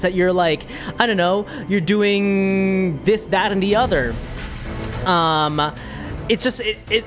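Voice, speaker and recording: A game streamer chatting casually and thinking aloud while giving opinions to viewers in a conversational way. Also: medium pitch.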